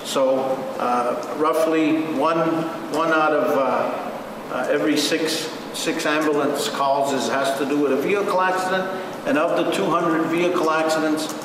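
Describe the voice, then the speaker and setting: A man playing an earnest community safety expert who explains facts at a meeting in a halting, matter-of-fact way. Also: fairly high pitch, steady tone, natural voice. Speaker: community protection resource person at a meeting. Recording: very echoey noisy hall, far from mic.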